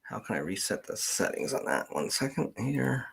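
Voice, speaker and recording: Low gravelly man muttering through livestream setup. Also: very animated, normal speed, a bit bright. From Canada. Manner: distracted muttering, thinking aloud while fiddling with equipment. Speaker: livestream host, genealogist. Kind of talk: casual livestream chat.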